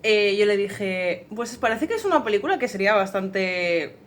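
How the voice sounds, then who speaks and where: A woman's voice: medium pitch, animated, moderate pace. A woman with a Castilian Spanish accent, a dubbing director giving an unscripted behind-the-scenes account. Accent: Castilian Spanish from Spain. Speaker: fandub director and voice actress.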